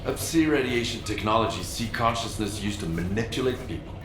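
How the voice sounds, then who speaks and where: An actor playing a bitter, secretive male loner in a video game cutscene, speaking in a low, grave and guarded way. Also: lively intonation, a little fast, music in the background, lightly acted. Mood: grim.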